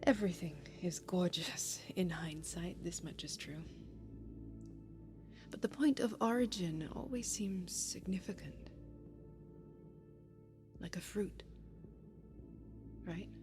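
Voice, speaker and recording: A woman playing a grieving, heartbroken lover in a poetic audio-drama monologue, measured and reflective, with breathy pauses. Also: fairly low pitch, music in the background. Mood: wistful, grieving.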